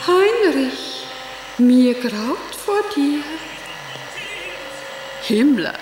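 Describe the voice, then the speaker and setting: An actress playing a hysterical, devoted Nazi-era wife and vain former stage star in a theatrical monologue, declaiming with drawn-out, melodramatic phrasing. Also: female voice, on the low side, noisy background. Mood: disgusted.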